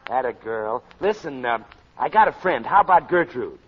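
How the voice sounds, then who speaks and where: An actor playing a jolly, slangy playboy pal with a breezy, chummy manner in a 1940s radio comedy. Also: male voice, mid-range voice, very animated, normal speed, slightly rough. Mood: breezy, flirty. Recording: muffled, noisy 1940s radio recording.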